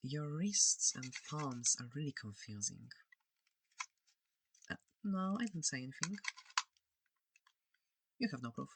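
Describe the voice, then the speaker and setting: A person voicing a curious, polite alien scientist in an ASMR roleplay, soft-spoken, hesitant and reassuring, breathy and close to the microphone. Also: mid-range voice, big pitch swings, slow, long pauses, background noise, exaggerated voice. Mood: puzzled, awkward.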